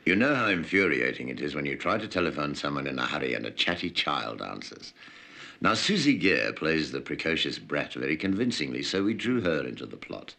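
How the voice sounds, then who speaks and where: Very deep gravelly man narrating. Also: fairly bright tone. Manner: dry, amused storyteller. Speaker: narrator of a hidden-camera comedy film. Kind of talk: wry scripted voice-over narration.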